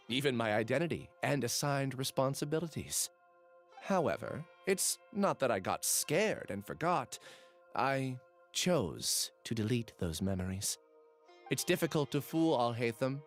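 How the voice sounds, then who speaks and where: A man playing a troubled, earnest young scholar in a video game, speaking in a measured, confessional way. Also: medium pitch. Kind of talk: dramatic game voice acting.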